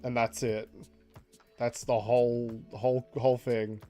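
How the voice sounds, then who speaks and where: A man casually chatting on a livestream while he draws, reading out chat messages, laughing often and jumping up in pitch for jokes. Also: level tone, measured pace, warm tone, soft background music.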